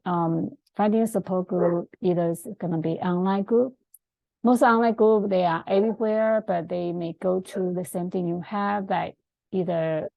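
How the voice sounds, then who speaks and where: A middle-aged woman whose first language is Chinese, giving an informal presentation in a halting, hesitant way with many pauses. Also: lowish voice, creaky, warm tone, no echo, low-quality recording. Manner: halting, hesitant, frequent pauses mid-sentence. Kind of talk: informal presentation with screen share.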